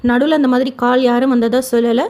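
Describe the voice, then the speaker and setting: A woman's voice: on the high side, steady tone, fast talker, mellow. A woman hosting an informal news update, informative and mixing English words into her speech. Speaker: channel host giving pilgrimage updates.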